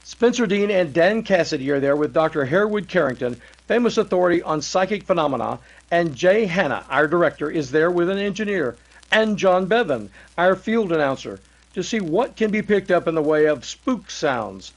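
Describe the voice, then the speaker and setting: A man acting as an old-time radio announcer from the 1930s, pitched high for a male voice. The delivery is earnest and formal, with the polish of a salesman reading scripted copy. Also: lively intonation, normal speed, vocal fry, heavily acted, poor audio. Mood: suspenseful, formal.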